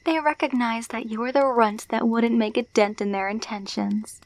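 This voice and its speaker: A woman's voice: a little high, steady tone, moderate pace, close to an everyday voice. A woman playing a possessive alpha werewolf in an ASMR roleplay, taunting and condescending as she coos pet names. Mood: taunting, menacing. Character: dominant, possessive female alpha werewolf, teasing and menacing.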